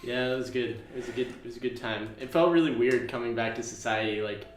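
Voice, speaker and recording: A man's voice: medium pitch, fast, reverberant, a little hiss or hum. A man of twenty chatting casually as a podcast co-host, rambling through a story with lots of fillers. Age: 20s. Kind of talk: casual chat.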